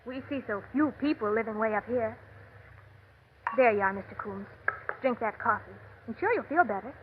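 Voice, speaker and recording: An actress playing a stubborn, devoted young ranch wife in an old radio drama, earnest and theatrical. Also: female voice, on the high side, normal speed, lightly acted. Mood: hospitable. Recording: noisy, tinny vintage radio recording.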